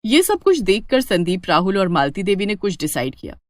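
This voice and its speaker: A woman's voice: medium pitch, sing-song, brisk, no echo, studio quality. A woman reading a scripted family story as a dramatic storyteller. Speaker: story narrator.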